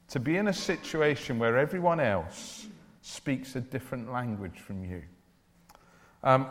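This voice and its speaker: A man preaching a church sermon in an earnest, deliberate way, with declarative phrasing. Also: mid-range voice. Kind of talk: evangelical church sermon with Bible reading and prayer. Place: large church or hall, speaking to a congregation. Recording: reverberant hall, long echo.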